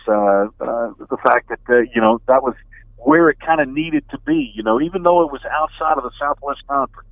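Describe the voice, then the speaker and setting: A man's voice: mid-range voice, no echo. A middle-aged man, a radio play-by-play announcer, talking as a guest in a sports interview over the phone in a conversational, analytical way. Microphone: telephone. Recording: band-limited phone line.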